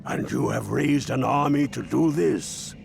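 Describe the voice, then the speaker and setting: A man's voice: medium pitch, measured pace, creaky, noisy background. A man playing a grave, stately dwarf king in a fantasy video game, solemn and regal. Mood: stern welcome. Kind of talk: scripted fantasy game acting.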